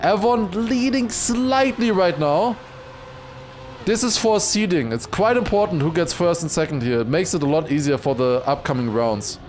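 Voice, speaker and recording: High-voiced man, esports watchparty streamer, excitable live commentary, casual asides, faint background noise. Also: normal speed. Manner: excitable, shouting at big moments.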